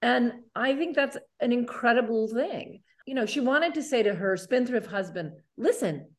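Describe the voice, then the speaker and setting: A woman's voice: on the high side, expressive, moderate pace. A woman co-hosting an educational discussion, explaining things in an earnest manner. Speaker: show co-host.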